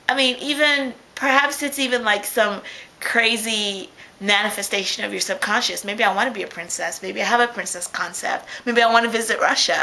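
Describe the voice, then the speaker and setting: A young woman talking casually to her webcam from a small room at home, chatty and quick to laugh, often restarting her sentences. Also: on the high side, pushed and bright, low-quality recording. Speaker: vlogger. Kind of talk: casual video diary. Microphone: webcam at close range.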